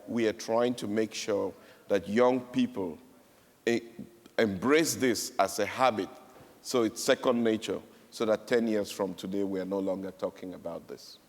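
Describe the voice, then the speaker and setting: A man from Cameroon speaking on a panel, telling a story with emphatic repetition. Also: on the low side. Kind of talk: panel speech. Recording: echoey hall.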